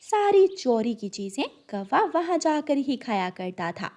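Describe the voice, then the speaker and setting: A woman storyteller narrates a children's cartoon from a script. Her voice is high, and her intonation moves in wide, lively sweeps. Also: unhurried.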